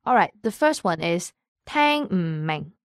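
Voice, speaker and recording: A woman teaching vocabulary in a scripted language lesson, repeating each word slowly and carefully. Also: lowish voice, very animated, smooth, no echo, clean studio sound. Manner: slow, careful repetition of words.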